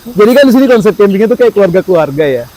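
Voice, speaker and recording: A man's voice: high voice, very animated, dark tone, low-quality recording. A man, hosting a casual chat at an outdoor campsite in a friendly, joking way. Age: 30s. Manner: friendly, joking, quick to agree. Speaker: campsite host.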